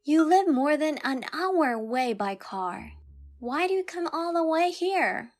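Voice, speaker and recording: Woman voicing an earnest, high-voiced young bride-to-be. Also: expressive, unhurried, faint music behind. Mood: wary. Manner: firm, accusing, earnest. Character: earnest, wary young bride-to-be standing up to harassment. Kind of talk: dramatized manga story dub.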